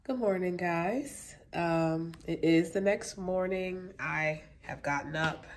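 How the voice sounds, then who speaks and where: A woman in her late twenties, talking low with a gravelly edge and a congested, stuffy nose. She rambles casually to her phone camera from a hotel room, full of ums and likes. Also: slow, slight background noise. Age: late 20s. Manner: relaxed, rambling, lots of fillers. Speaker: vlogger. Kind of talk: casual vlog chat to camera.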